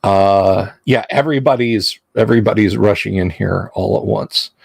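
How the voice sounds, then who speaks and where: A man's voice: lowish voice, fairly even intonation, moderate pace, gravelly, slightly rough, dry recording. A man hosting a casual livestream chat, rambling and self-deprecating, often restarting his sentences. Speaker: livestream host.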